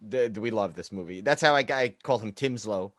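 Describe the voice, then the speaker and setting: A man's voice: fairly low pitch, brisk, no echo, clean studio sound. A man hosting a casual film chat, rambling and often trailing off mid-thought.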